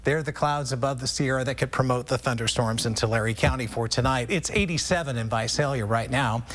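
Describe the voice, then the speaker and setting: A man's voice: medium pitch, a little fast, a bit bright, a little hiss or hum. Conversational American TV weatherman explaining the forecast. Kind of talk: ad-libbed broadcast weather forecast.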